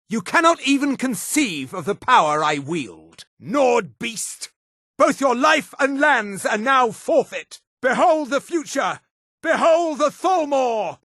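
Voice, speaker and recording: Haughty high elf mage, video game. Disdainful, imperious, high-pitched for a man, with big swoops. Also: bright, forceful tone, dry recording, clean studio sound, heavily acted. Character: haughty high elf mage, arrogant adult male supremacist. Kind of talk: video game character voice lines.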